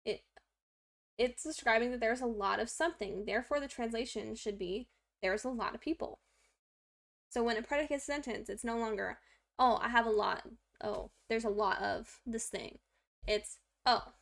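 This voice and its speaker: Chatty young woman with a gravelly edge. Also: normal speed, a bit bright. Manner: chatty and self-deprecating, many fillers; talks to a pet in a high baby voice. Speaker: streamer learning Korean. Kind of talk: casual study stream, explaining grammar aloud.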